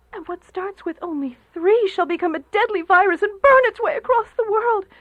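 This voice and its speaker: A woman's voice: very high-pitched, no room sound. An actress playing a frightened, superstitious village woman in a radio horror play, pleading and melodramatic. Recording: muffled lo-fi radio play, faint music. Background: faint music.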